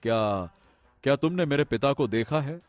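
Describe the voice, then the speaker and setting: Expressive, theatrical young prince played by a man. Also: medium pitch, no echo, soft background music, lightly acted. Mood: wistful. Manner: theatrical, drawn-out pauses, emphatic repetition. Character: young prince, earnest, brooding, grandly expressive. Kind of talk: Hindi animated moral story dialogue.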